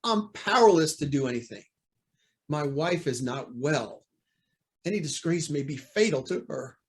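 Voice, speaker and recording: An actor playing a proud, anxious, cornered judge in an old-time radio drama, speaking in a formal, indignant, theatrical way. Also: male voice, on the high side, expressive, a little slow. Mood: weary, suspicious.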